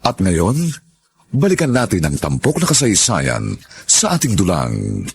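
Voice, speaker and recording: A man playing the announcer of a radio drama, introducing the story in a slow, drawn-out way. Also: deep voice, huge pitch swings, full character voice. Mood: solemn. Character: deep-voiced male radio drama announcer.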